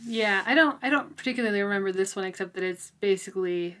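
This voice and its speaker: A woman co-hosting a casual book discussion, talking in an informal, thoughtful way with halting phrases. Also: mid-range voice, fairly bright tone. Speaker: book podcast co-host.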